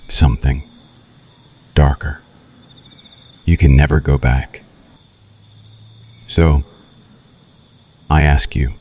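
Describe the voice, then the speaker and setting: A man playing a seductive, menacing male vampire in an ASMR roleplay, speaking deliberately and broodingly with teasing, dramatic pauses. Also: extremely low pitch, dark tone, exaggerated voice. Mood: ominous, tempting. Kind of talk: slow ASMR roleplay monologue.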